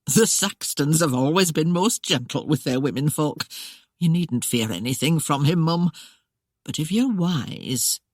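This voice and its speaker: A narrator voicing a gentle but insistent older housekeeper woman in an audiobook, with rustic old-fashioned speech. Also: mid-range voice, moderate pace, no room sound, clean studio sound, close to an everyday voice. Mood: knowing.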